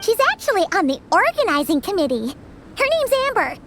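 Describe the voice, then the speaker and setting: A woman voicing a small, excitable fairy companion in a video game, childlike and perky, speaking bluntly. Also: fairly quick, slight background noise, heavily acted. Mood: excited. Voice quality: squeaky, childlike. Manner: perky, blunt, refers to self by name. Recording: background music under dialogue.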